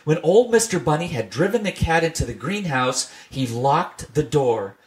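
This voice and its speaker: A man reading a classic children's storybook aloud in a gentle, measured storytelling manner. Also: fairly high pitch, sing-song. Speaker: reader.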